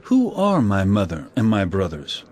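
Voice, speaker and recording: An actor playing Jesus as a calm, authoritative male teacher in an audio drama, with a measured, solemn delivery. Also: on the low side. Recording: music bed, slightly distant mic.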